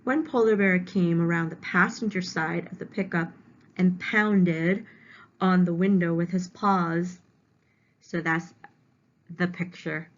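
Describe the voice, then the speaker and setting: A woman's voice: lowish voice, fairly even intonation, takes it slowly. A woman reading aloud as a teacher, stopping to explain words simply to young students. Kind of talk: read-aloud lesson with simple word explanations. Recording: dull, lo-fi home recording.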